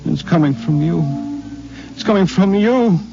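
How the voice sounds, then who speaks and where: An actor playing a worldly, self-assured married man who talks briskly and persuasively, with sighs and hums, in a 1950s radio drama. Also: sits high, expressive, gravelly, over loud music, exaggerated voice. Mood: alarmed. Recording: dull lo-fi 1950s radio broadcast with faint hiss.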